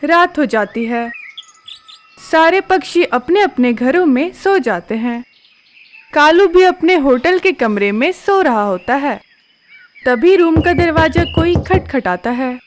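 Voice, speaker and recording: A woman narrating a cartoon story in a steady, clear storytelling manner. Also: high voice, slow, a little hiss or hum.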